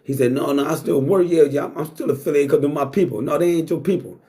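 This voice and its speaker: A man's voice: fairly high pitch, fast talker, mellow. A middle-aged man hosting a talk show, talking bluntly and casually, swearing freely. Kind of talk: casual monologue to live chat.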